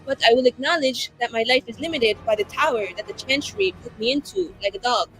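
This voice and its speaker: A woman's voice: fairly high pitch, a little fast, a bit bright, faint music behind, lightly acted, poor audio. A woman playing a tipsy, indignant young woman, ranting with sarcasm and swearing in a tabletop game.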